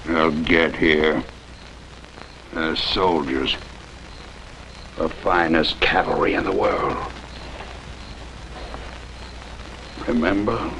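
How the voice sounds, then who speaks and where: A haughty, broken cavalry colonel in a vintage TV western. His voice is extremely low and creaky, and he broods slowly over each phrase, lost in bitter delusion. The old soundtrack is noisy. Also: pushed and bright, heavily acted. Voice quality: gravelly, creaky. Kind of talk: 1950s TV western acting.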